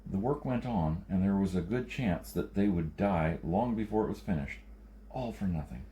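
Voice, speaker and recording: A man narrates an audiobook in a scripted storytelling style. His voice is on the low side, very mellow and dark in tone. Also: animated, moderate pace, dull audio, a little hiss or hum. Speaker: audiobook reader.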